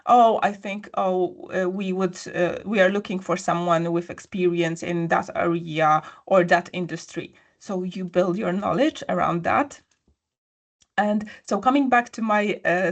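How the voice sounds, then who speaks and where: Woman telling her job story. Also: fairly low pitch. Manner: storytelling, frequent fillers and restarts. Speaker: interview guest. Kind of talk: conversational interview answer.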